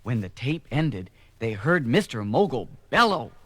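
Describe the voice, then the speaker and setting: A man reads a scripted children's tale as narrator. His voice is medium in pitch and highly expressive in intonation. Faint music plays underneath. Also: a little slow.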